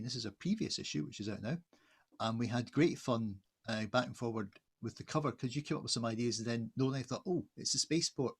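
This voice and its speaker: Husky-voiced man hosting a casual interview. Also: mid-range voice. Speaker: host, magazine editor interviewing an artist. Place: online video call.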